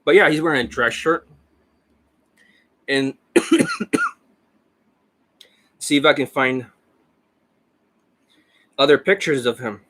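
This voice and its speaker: A man coaching about dating who talks in a brash, profane, rambling way, hoarse and losing his voice, with coughs between lines. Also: mid-range voice, slow, long pauses, a bit bright. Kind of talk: casual monologue over a screen share. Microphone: desk microphone.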